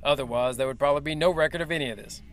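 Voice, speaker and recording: An actor playing a male military major who briefs the press in a formal, measured and evasive way. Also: fairly high pitch, slight background noise, lightly acted. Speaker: official spokesman. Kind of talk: audio drama acting.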